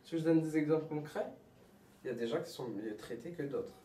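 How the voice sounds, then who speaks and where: A high school student in his late teens, answering in a casual group interview, hesitant and repeating himself. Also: animated, fairly quick. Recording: echoey room, from far off.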